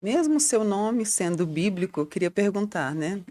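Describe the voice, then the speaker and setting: A woman's voice: mid-range voice, animated, normal speed, mellow. A middle-aged woman with a Brazilian accent, co-hosting a podcast in a conversational style. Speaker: podcast co-host, pastor.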